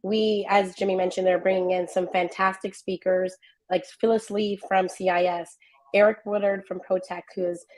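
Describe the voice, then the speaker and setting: A woman with a hoarse, worn-out voice, organizer of the event, explaining it in an upbeat, friendly way as a guest on a video call. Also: medium pitch, flat delivery, moderate pace, darkish tone, low-quality recording. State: hoarse, lost voice.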